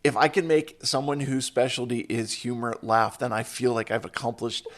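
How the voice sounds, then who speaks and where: A man's voice: mid-range voice, level tone, moderate pace. A man hosting an interview podcast, upbeat and conversational with a joking tone. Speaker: podcast host.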